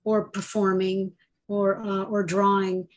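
A woman whose first language is Spanish speaks at a medium pitch. She is a student on a video call, thoughtfully framing a question. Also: flat delivery, takes it slowly.

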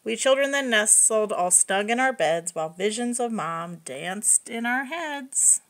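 A woman reading a picture book aloud to young children, expressive and explaining things simply. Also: on the high side, a little slow. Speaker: preschool story reader.

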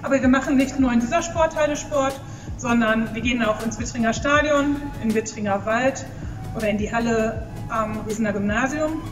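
A woman's voice: a little high, fairly quick, music in the background. A woman sports teacher listing places matter-of-factly inside a sports hall. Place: large indoor sports hall. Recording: distant voice in echoing gym.

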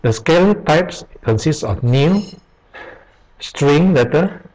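A man teaching programming over a screen recording, reading aloud slowly with pauses and restarts. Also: fairly high pitch, lively intonation, darkish tone, low-quality recording. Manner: slow, halting, reads aloud with pauses and restarts. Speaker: programming tutor. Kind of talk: screen-recorded tutorial reading from web pages. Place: at a computer, voice-over on screen capture.

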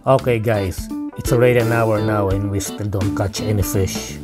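A man's voice: lowish voice, steady tone, moderate pace, loud music behind the voice. A man in his forties explaining a fishing lake to a handheld camera outdoors, as a family vlogger. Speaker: vlogger, father. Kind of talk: casual vlog narration. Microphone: handheld phone camera.

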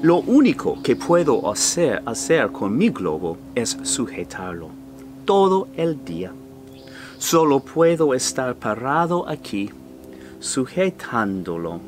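A middle-aged man reading a children's picture book aloud outdoors in a slow, animated, theatrical way. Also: medium pitch, music in the background. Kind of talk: expressive children's read-aloud in character voices. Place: outdoors in a fenced backyard. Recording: outdoor ambient noise.

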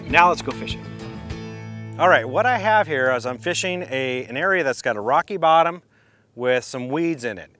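A middle-aged man teaching a fishing technique from a small boat on a lake, in a relaxed, practical way, often restarting phrases as he demonstrates. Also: mid-range voice, dry recording. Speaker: fishing instructor. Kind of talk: outdoor how-to demonstration.

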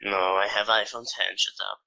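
Brash man, sharp and bright. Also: on the low side, flat delivery, moderate pace, gravelly, poor audio. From Poland. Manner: broken English, brash and argumentative. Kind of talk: bantering in online game voice chat. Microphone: gaming voice chat mic.

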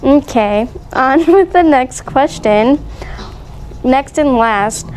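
A woman's voice: high-pitched, expressive, slow, darkish tone, rough recording. A woman speaking casually as a vlog host and interviewer. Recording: faint background noise.

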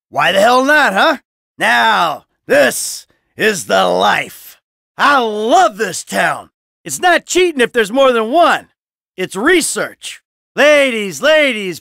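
A man's voice: extremely high pitch, creaky, pushed and bright, no room sound, clean studio sound, heavily acted. A man voicing a cynical, brash middle-aged American criminal in a video game, sarcastic and foul-mouthed. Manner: sardonic, brash, swearing.

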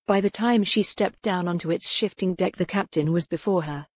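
A woman's voice: on the low side, moderate pace, husky, no echo. A woman reading scripted narration as an audiobook narrator of historical fiction.

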